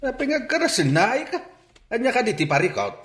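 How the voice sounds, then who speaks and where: A man playing a gruff, indignant and disapproving older relative in a Filipino radio drama, speaking bluntly. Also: very high-pitched, big pitch swings, fairly quick, a bit bright, roomy sound, exaggerated voice. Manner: blunt, demanding.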